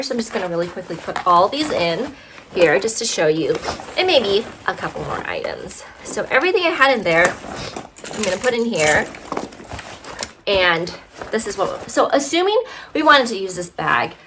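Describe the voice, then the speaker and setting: A woman's voice: mid-range voice, noisy background. A woman vlogger casually reviewing bags, slightly congested from allergies, chatty with many fillers. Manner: chatty, lots of fillers and "right?". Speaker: fashion vlogger.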